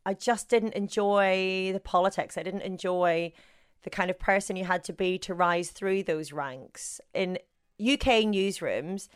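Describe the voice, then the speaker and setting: A woman's voice: mid-range voice, measured pace, smooth. Gregarious Northern Irish woman. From Northern Ireland. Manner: animated, gregarious, self-deprecating. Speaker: sports journalist guest. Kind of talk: casual interview chat.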